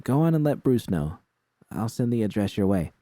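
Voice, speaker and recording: A man's voice: fairly low pitch, big pitch swings, moderate pace, dark tone, no echo. A man voicing a streetwise, protective young woman in a story, with blunt, slangy, reassuring talk. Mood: reassuring, firm.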